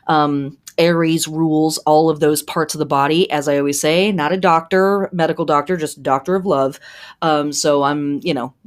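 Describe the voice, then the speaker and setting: A woman hosting a casual talk on the pagan calendar and astrology, chatty with many fillers, laughs and asides. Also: fairly low pitch. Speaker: podcast host. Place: home in a noisy city.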